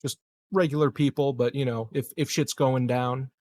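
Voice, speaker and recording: A man playing a tabletop game, talking casually and informally in halting phrases. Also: mid-range voice, steady tone, darkish tone, no echo. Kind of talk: casual chat.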